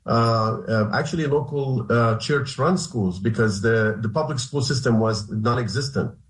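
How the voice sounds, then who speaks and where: Halting middle-aged man. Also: medium pitch. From Haifa, Israel. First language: Arabic. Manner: halting, many restarts and hesitations. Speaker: guest, human rights lawyer. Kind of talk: radio interview answers. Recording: roomy echo.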